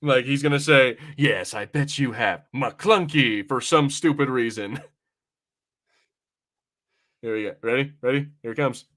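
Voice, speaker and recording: A man's voice: mid-range voice, a little slow, long pauses, fairly bright tone. A young adult man in casual podcast banter, brash and teasing, swearing freely as he talks. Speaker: podcast co-host. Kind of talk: casual watch-party banter.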